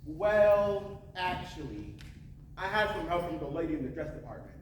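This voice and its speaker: A young man playing a gentle, playful small-town husband in a high school stage play. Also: sing-song, normal speed. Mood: fond. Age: teenager or young adult. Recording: echoey noisy stage recording from far off.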